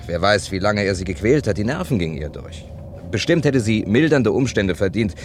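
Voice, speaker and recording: Deep-voiced, hesitant gentleman sleuth. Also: very animated, fairly quick, slight background noise. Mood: pensive, doubtful. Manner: thoughtful, hesitant, courteous. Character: gentle, hesitant male amateur sleuth and narrator. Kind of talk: German radio crime play acting.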